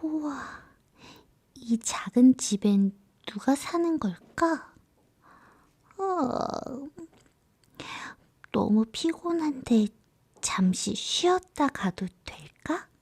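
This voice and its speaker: A woman voicing a sweet, timid young storybook princess, soft, hesitant and breathy. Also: high voice, expressive, drawn-out words, long pauses, voice pushed up, full character voice. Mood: tired wonder.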